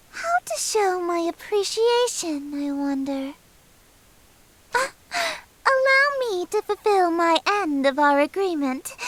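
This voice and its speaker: High-pitched, haughty eldritch queen. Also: a bit bright, full character voice, rough recording. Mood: smug, seductive. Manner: formal, imperious, theatrical, condescending. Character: regal, haughty eldritch queen of chaos, menacingly sweet. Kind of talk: visual novel game character acting.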